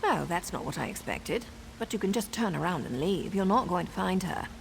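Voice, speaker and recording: An actress playing a sardonic, self-assured assassin in a video game, with a teasing, wry way of talking. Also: female voice, fairly low pitch, expressive, fairly quick, vocal fry, slight background noise, poor audio. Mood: firm, serious. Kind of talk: video game character acting.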